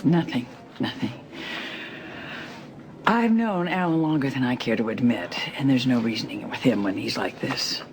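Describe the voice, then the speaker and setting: A woman's voice: extremely low pitch, lively intonation, normal speed. A woman playing a weary, resigned friend in a soap opera. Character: weary, resigned longtime friend of grieving man. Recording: noticeable background noise.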